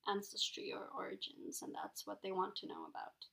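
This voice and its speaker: Gravelly-voiced woman from Ecuador. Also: medium pitch, flat delivery, brisk, sharp tone, rough recording. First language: Spanish. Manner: thoughtful, many fillers like "um" and "like". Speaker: guest. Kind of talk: casual interview.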